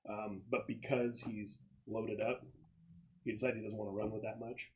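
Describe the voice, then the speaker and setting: A man's voice: on the low side, level tone, darkish tone, low-quality recording. Casual man narrating a tabletop game. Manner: halting, casual, profane. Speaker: game master.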